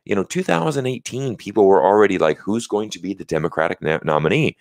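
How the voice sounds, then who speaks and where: Deep-voiced American man hosting a live stream. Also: animated, fast, no echo, clean studio sound. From United States. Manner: earnest, explanatory, thanks viewers, many "you know" fillers. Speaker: livestream host. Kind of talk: casual live-stream talk. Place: small home studio, indoors. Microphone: large studio mic on a stand close in front.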